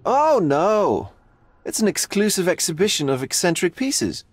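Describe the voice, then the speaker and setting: A man playing a sly, hedonistic, eccentric art gallery owner in a video game, with drawn-out theatrical philosophizing. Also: sits high, very animated, slightly rough, full character voice. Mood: intrigued, grave. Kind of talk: video game cutscene acting.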